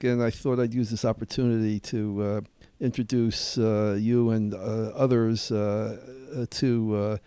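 Mellow-voiced radio host, full of "uh"s. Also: male voice, mid-range voice, smooth, dry recording. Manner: hesitant, many filler "uh"s. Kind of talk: radio interview.

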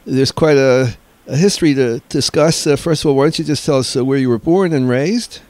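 A man in his late seventies hosting a radio interview, courteous and hesitant, full of pauses and fillers. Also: medium pitch, darkish tone, no echo. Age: late 70s. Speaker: radio show host.

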